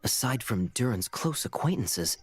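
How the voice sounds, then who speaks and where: A man playing a thoughtful, cautious adviser in a video game, speaking in a measured, deliberate way. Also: medium pitch, very animated, husky, faint music behind. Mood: grave, pondering.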